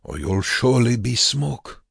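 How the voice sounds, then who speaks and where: Man acting a goading, hardened prisoner, husky. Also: lowish voice, big pitch swings, slow, dry recording, studio quality, pitched above the performer's own voice, exaggerated voice. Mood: insistent. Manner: goading, rough, half-whispered at times. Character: hardened male camp prisoner, goading and urgent. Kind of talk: audiobook character voice.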